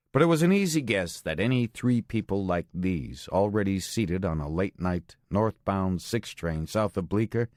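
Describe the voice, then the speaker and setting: A low-pitched American man narrates a thriller novel in short, clipped phrases. His delivery is deliberate and terse, as scripted first-person storytelling. The recording is very dry and close, with no room sound. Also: a little mellow, no room sound. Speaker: audiobook narrator. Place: studio.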